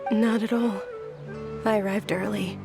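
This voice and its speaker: A woman playing a gentle, frail young noblewoman in a video game, polite and restrained as she hides her weariness. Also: mid-range voice, fairly even intonation, a little slow, gravelly, loud music behind the voice. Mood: politely reassuring. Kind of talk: dramatic video game voice acting.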